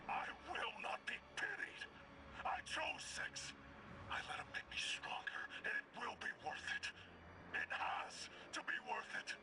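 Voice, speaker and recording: A man voicing a tormented, hollowed-out vessel of a dark power in a video game, breathy, halting and bitter. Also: high voice, huge pitch swings, background noise, heavily acted. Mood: defiant, desperate. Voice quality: breathy, whispering. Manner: anguished, halting, intense, bitter. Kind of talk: dramatic video game cutscene acting.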